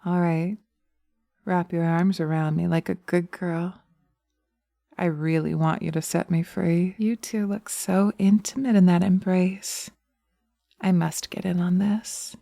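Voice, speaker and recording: A seductive, vengeful witch acted by a woman murmurs in a slightly low, mellow voice with a gravelly fry. She draws out her words very slowly, dominant and teasing, as in a close-miked hypnosis audio roleplay. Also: steady tone, dry recording. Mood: seductive, commanding. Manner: sultry, slow, hushed, often dropping to a whisper. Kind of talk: slow hypnosis roleplay, ASMR. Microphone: close binaural microphone.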